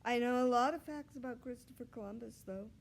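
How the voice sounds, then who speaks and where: A woman voicing a patient, slightly weary schoolteacher in a storybook, with measured, polite classroom speech. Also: fairly high pitch, vocal fry, voice pushed up.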